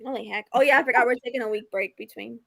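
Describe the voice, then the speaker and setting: High, bright, animated woman chatting. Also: racing, creaky. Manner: animated, repeats phrases for emphasis; addresses viewers as "y'all". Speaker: book discussion co-host. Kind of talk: casual chat. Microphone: livestream video call.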